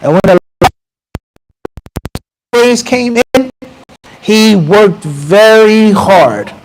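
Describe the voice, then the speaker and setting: An American man in his thirties teaches a mosque class in a bright, high register, talking straight to the room. He speaks into a handheld mic held close, mixing casual talk with recited Arabic. A faint hiss sits under the recording. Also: very slow, low-quality recording. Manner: animated, conversational, quotes Arabic passages. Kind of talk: mosque lecture. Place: small mosque room with bookshelves. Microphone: handheld mic close to mouth.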